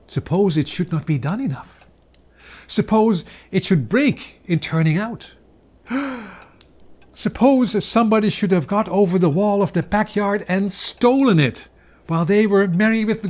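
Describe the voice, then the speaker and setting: A man reads a classic Christmas story aloud in a fairly high voice. He takes his time, savoring phrases and leaving theatrical pauses, as a storyteller giving a dramatic reading. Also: a little mellow.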